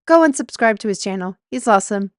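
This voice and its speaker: A woman's voice: mid-range voice, expressive, fast. A woman hosting her own audio show, speaking in a rambling, informal way. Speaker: creator and host. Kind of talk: informal episode introduction.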